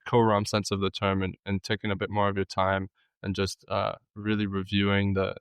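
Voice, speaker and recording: Flat-toned young man, casual and hesitant. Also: fairly low pitch, normal speed, clean tone, no echo. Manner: casual, hesitant, many fillers. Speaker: student. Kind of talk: interview answers.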